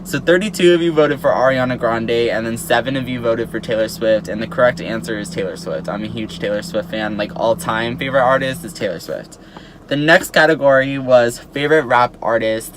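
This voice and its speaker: 18 yo guy vlogging, chatty, lots of likes and ums, normal pitch, bit of faint noise behind. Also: low-quality recording. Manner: chatty, peppered with "like" and "um". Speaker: YouTuber. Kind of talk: casual vlog chat to camera.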